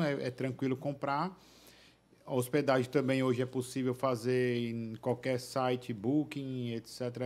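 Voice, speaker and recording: A young man speaks as a podcast guest in a small curtained studio, close to a boom-arm microphone. His voice sits at an ordinary middle pitch, and he talks casually, stopping and restarting often with fillers. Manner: hesitant, many fillers and restarts. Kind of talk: casual interview answers. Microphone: studio mic on boom arm.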